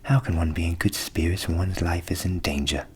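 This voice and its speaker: A man voicing a weary, self-pitying old female cat from a storybook, plaintive and soft-spoken in a low, creaky half-whisper. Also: steady tone, fairly quick. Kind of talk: storybook character voice in ASMR reading.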